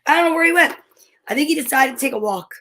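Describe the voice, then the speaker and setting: A woman hosting an online story time, speaking in a playful, expressive way as if chatting casually with viewers. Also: sits high, quick pace. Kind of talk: casual livestream chat with short read-aloud passages.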